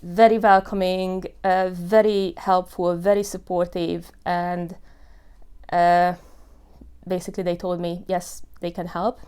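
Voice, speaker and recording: This is a woman in her thirties telling her own story in English, in an earnest, unhurried way, with plenty of "you know" and "uh". Her voice sits fairly low and sounds smooth and clear. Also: little pitch movement, a little mellow. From Hungary. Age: 30s. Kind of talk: personal testimony.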